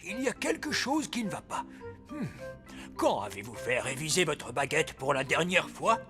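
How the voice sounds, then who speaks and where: Animated, fussy old cartoon elf. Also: male voice, fairly high pitch, normal speed, over music. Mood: fussy, pedantic. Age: older. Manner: pedantic, precise. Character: wise old elf, male, fussy, cautious magic inspector. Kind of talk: French cartoon dub acting.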